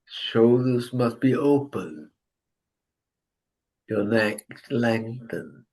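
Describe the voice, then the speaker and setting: A man leading a guided breathing meditation, giving calm instructions with long pauses. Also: mid-range voice. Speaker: meditation teacher.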